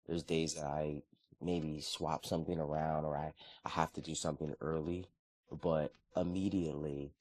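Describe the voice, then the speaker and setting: A man in his thirties talking casually and earnestly about his morning routine and faith. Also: a bass voice. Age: 30s. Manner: earnest, relaxed, conversational with frequent restarts. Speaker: vlogger.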